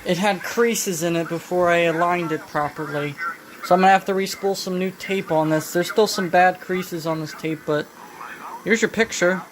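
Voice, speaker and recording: A woman talking casually through a hands-on repair demonstration, halting and thinking aloud as she goes. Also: on the low side, a crowd in the background. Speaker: vintage electronics repair hobbyist. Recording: noisy home video, steady background noise. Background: clear background noise.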